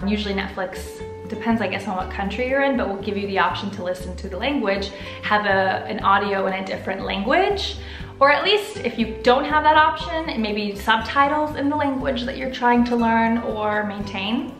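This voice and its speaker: A young American woman vlogging to camera from her living room, upbeat, expressive and chatty. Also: medium pitch, moderate pace, roomy sound, music in the background. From United States. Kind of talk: casual to-camera vlog. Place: living room with sofa, indoors.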